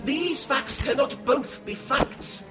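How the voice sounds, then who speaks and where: A woman's voice: high voice, animated, takes it slowly, over loud music. A woman playing a pedantic, literal-minded alien in a sci-fi audio drama, speaking in clipped, stilted declamation. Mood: stern, pedantic.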